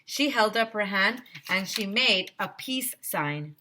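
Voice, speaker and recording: Bright-voiced, slow-paced woman reading to children. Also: medium pitch, expressive, a little hiss or hum. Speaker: teacher reading aloud. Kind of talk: expressive children's read-aloud narration.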